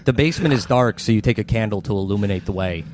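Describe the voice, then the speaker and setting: A man's voice: on the low side, fast. A man running a tabletop horror game as game master, improvising scene descriptions and calling for rolls. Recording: music laid loudly under the voice. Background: loud background music.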